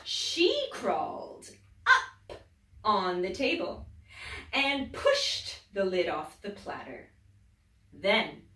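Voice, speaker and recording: A woman teacher reading a children's story aloud, expressive, dropping to whispers and rising to loud exclaims. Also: mid-range voice. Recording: echoey room, distant mic.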